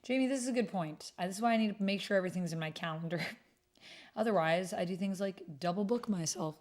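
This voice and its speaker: Female stream host with a lowish voice, chatty, informal delivery aimed straight at viewers. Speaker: livestream host. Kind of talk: casual chat with livestream viewers.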